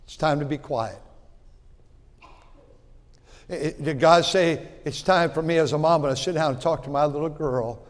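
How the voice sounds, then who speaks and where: A man in his sixties preaching a sermon in a folksy way, repeating words and swinging from loud to quiet. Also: a little high, a little fast, long reverb tail. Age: 60s. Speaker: pastor.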